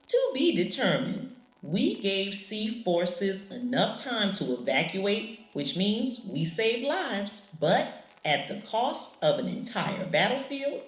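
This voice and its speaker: A woman playing a measured, grave lieutenant and tactical analyst on a scripted wartime radio show. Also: medium pitch, sing-song, echoey room, low-quality recording. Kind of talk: scripted radio drama interview.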